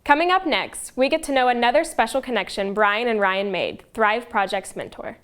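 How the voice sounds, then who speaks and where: A woman with a fairly high voice reads a scripted introduction in a bright presenter style. The delivery is clean and polished. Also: expressive, normal speed. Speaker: show host.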